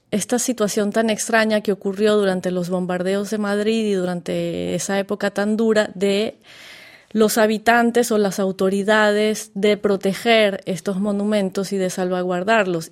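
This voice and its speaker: A woman hosting an interview, asking long, winding questions with many fillers. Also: medium pitch. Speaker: interview host.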